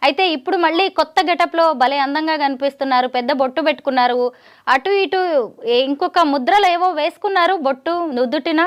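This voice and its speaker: A woman working as a news interviewer, recapping points in an animated way and putting probing questions. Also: high-pitched, brisk.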